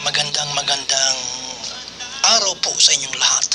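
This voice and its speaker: A man with a Filipino accent hosting a radio program, greeting listeners and reading out names in a deliberate way. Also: a little high, steady tone. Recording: music playing behind radio voice. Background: music.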